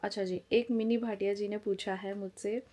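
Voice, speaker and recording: 40s indian lady vlogging at home, chatty and blunt, middle pitch, phone mic. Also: flat delivery, normal speed, mellow. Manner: frank, chatty, talks plainly and openly. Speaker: family vlogger. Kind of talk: casual chat to camera.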